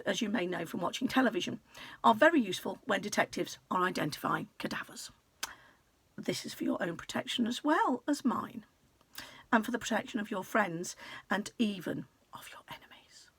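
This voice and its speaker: A woman reading a children's book aloud like a teacher, in an expressive, conspiratorial and playful storytelling manner with hushed, confiding phrasing. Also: medium pitch, husky, no room sound. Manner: conspiratorial, playful, dropping into hushed near-whispers.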